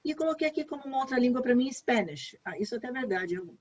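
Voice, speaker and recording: A woman's voice: high-pitched, expressive, fast. A Brazilian woman, an education advisor, explaining an online application form step by step in a chatty way, stressing key points by repeating them. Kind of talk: online workshop walkthrough. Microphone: video call. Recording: muffled lo-fi call audio.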